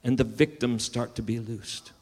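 A man's voice: mid-range voice, normal speed, creaky, long reverb tail. A man preaching a sermon, building emphasis and sometimes restarting his words. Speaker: pastor.

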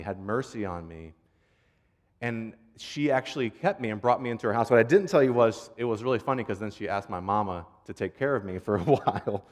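A man's voice: mid-range voice, a little mellow. A man preaching as a pastor in an informal, earnest, conversational way, repeating phrases for rhythm as he tells stories. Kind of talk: conversational sermon with prayer and storytelling. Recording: long hall reverb.

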